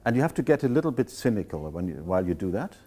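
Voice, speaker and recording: Male lecturer, low and warm, thoughtful and unhurried in discussion. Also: sing-song, slightly rough. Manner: thoughtful, searching for words. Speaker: guest speaker.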